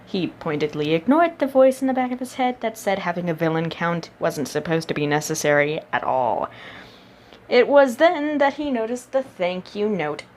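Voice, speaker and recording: Expressive woman narrating a story. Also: fairly low pitch, moderate pace, a little hiss or hum. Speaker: amateur audiobook narrator reading fan fiction.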